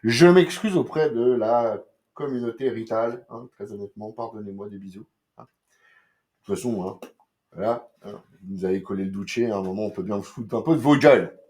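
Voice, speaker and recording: A man from France talks through comics in a loose, rambling way, tossing in slang and swear words between fillers. His voice sits on the low side. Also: moderate pace, a little mellow. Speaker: comics reviewer. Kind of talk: casual crude-talking comics review.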